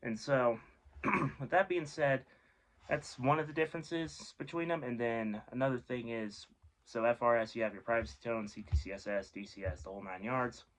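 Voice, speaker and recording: A man's voice: medium pitch, moderate pace, poor audio. A man giving a casual, informal explainer as a video host, rambling and offhand, often correcting himself. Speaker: host of a radio hobby video series.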